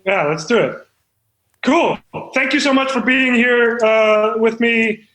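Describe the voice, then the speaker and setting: Improv comedy: man as insecure would-be screenwriter, high-voiced, earnest, hesitant. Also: takes it slowly, a bit bright, echoey room, faint music behind, heavily acted, rough recording. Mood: awkward, earnest. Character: hapless, earnest amateur screenwriter, aimless and insecure. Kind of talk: improvised comedy character talk.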